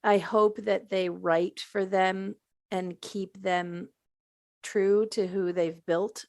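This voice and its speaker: A woman's voice: medium pitch, little pitch movement, slow, darkish tone, no echo, clean studio sound. A woman chatting casually as a panelist in a podcast discussion.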